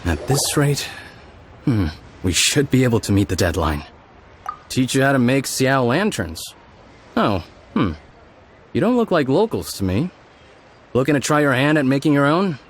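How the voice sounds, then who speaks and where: Male actor as patient game craftsman, gentle explainer, thoughtful, with lively intonation. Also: medium pitch, normal speed, pauses often, a little hiss or hum, close to an everyday voice. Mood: musing. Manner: musing, hesitant hums, explanatory. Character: kindly, patient male master lantern craftsman. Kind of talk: video game character acting.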